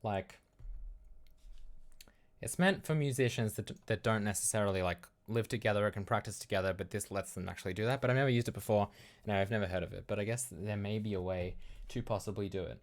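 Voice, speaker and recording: Rambling Australian man with a lowish voice. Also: fairly quick. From Melbourne, Australia. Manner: rambling, loose, thinking aloud. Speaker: livestream host. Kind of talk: casual livestream chat.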